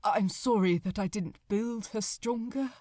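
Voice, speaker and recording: A woman voicing a grief-broken, courteous gentleman shipbuilder in an audiobook, speaking low and haltingly with a trembling tone. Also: no room sound, clean studio sound, natural voice. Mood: grieving, apologetic. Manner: quiet, halting, formal.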